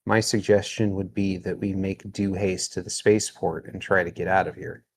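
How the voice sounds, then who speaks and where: A man's voice: deep voice, little pitch movement, normal speed, a little hiss or hum. A man with a dry, sardonic manner, bantering in character as a player in a tabletop game.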